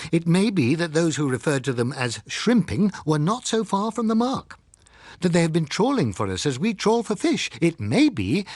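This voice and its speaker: A man's voice: fairly high pitch, a little mellow, dry recording, clean studio sound. A man reading an audiobook, playing an emphatic, rhetorical male scientist giving a sombre broadcast commentary. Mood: measured, speculative. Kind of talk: dramatised audiobook character speech.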